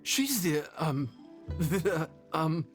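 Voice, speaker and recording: A man's voice: a little high, big pitch swings, drawn-out words, exaggerated voice. A man playing a humble, friendly common cook in a video game, modest and easily flustered, with hesitant speech. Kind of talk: video game character dialogue. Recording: music behind the voice.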